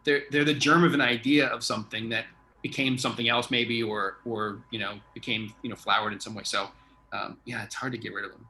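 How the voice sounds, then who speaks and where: A man with a bright, forward voice hosts a casual, conversational interview. He rambles a bit, building questions and thoughts piece by piece as he goes. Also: mid-range voice, steady tone, brisk, slight background noise. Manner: rambling, feels his way through questions. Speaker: interviewer, theatre artistic director.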